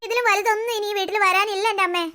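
A woman's voice: extremely high pitch, steady tone, racing, sharp tone. A woman doing teasing teenage daughters. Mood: mock-ominous. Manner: teasing, mock-ominous, dragging out suspense.

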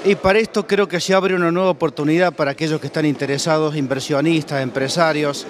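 A middle-aged man with an Argentine accent, presenting and interviewing as an agricultural TV journalist in measured, formal phrases. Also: fairly high pitch, steady tone. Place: large indoor event hall. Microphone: handheld interview microphone.

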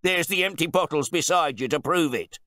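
A man voicing a pompous, blustering, newly rich wine bore with a loud, boastful manner and rough grammar, in a story reading. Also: on the high side, moderate pace, no echo, clean studio sound, voice pushed up. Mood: outraged.

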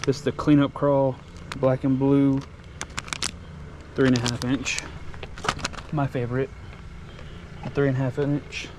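A man's voice: mid-range voice, a little slow, pauses often. A man, talking casually and slangily as he films a fishing vlog outdoors by ponds. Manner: laid-back, slangy, excited over catches. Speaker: fishing vlogger. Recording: noisy outdoor camera audio.

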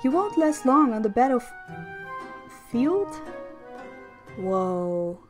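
A woman voicing a young, encouraging and teasing female army tactician in a fantasy game fan dub, with expressive delivery. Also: on the high side, drawn-out words, music in the background, heavily acted.

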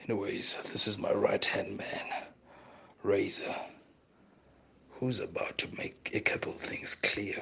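A man playing a calm, sinister gangster boss in an audio drama, whispery, gravelly and quietly mocking. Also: on the low side, exaggerated voice. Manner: slow, mocking, quietly threatening. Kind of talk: amateur audio drama acting.

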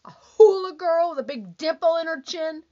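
Woman playing a high-pitched, nagging, whiny wife. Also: expressive, normal speed, warm tone. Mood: indignant. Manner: whiny, dramatic complaining. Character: nagging, needy, easily wounded bickering wife. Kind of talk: old-time radio comedy acting. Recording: dull, lo-fi band-limited sound.

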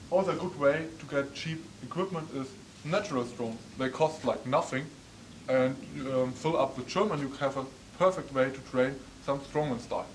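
A young man giving a casual, chatty walkthrough tour of an old wooden barn, with lots of ums, recorded on a camera mic from a distance. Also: fairly high pitch. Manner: chatty, frequent ums, gestures while walking. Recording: echoey barn, distant mic, faint noise.

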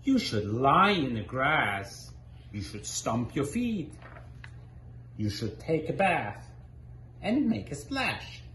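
Cheerful, pushy storybook animals, acted out by a man, coax with sing-song suggestions. The pitch leaps about, and the room is echoey with slight noise. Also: big pitch swings, slow pace, pauses often, a bit bright. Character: cheerful, pushy jungle animals urging a friend. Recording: roomy living room, background noise.

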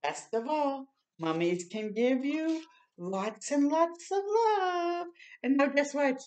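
An older woman hosting a children's storytime, reading a picture book aloud slowly and gently, pausing to react to the pictures. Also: high-pitched, animated. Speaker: storytime host. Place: small room indoors.

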